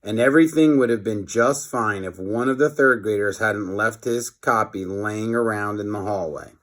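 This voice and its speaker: A man's voice: lowish voice, normal speed, a bit bright. A man narrating a children's story expressively, like a teacher reading aloud to pupils.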